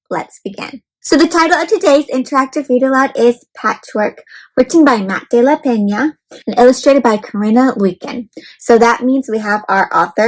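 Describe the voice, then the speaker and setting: Woman, higher-pitched voice, children's read-aloud host, teacher-like, expressive, encouraging, simple explanations. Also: rough recording. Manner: asks listeners questions, explains words simply. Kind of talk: interactive picture-book read-aloud for children.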